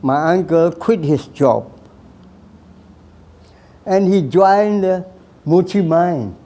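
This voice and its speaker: A man in his nineties from Burma, slowly telling his life story with hesitant pauses and repeated phrases. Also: sits high, expressive, warm tone. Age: 90s. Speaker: veteran recounting his life. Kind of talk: slow personal storytelling.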